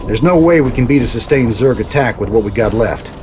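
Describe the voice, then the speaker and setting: An actor playing a rugged, weary but determined rebel commander in a video game, plain-spoken and folksy. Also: male voice, mid-range voice, lively intonation, fairly quick, noisy background, natural voice. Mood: wary resolve. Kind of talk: video game drama acting. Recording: voice under loud game music.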